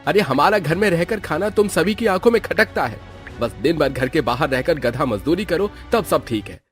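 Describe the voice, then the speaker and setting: A man's voice: on the high side, expressive, moderate pace, faint music behind, close to an everyday voice. A man voicing an irritable, bitter working-class village husband in a cartoon story, sarcastic and defensive. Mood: angry, sarcastic. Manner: sarcastic, grumbling. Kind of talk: Hindi cartoon story acting.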